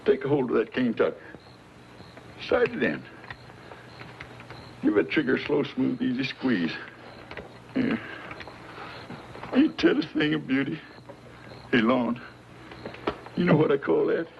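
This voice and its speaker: A man's voice: mid-range voice, very animated, unhurried, vocal fry, pauses often. An actor playing a folksy, garrulous old frontiersman with an American frontier drawl in an old radio western. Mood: admiring, confident. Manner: rambling, homespun, breaks into humming and song. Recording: dull, noisy old radio recording.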